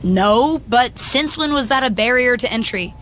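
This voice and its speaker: A woman's voice: a little high, expressive, moderate pace, fairly bright tone, a little hiss or hum. An actress playing an eager, plucky young female pro wrestler in a video game cutscene, speaking sincerely. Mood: wry, confident.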